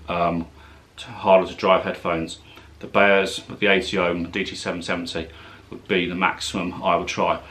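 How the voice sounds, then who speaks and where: A man with a fairly low-pitched voice talks through a product review. His delivery is casual and unscripted, with an informal, slightly hesitant way of explaining things. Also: pushed and bright. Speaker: tech reviewer.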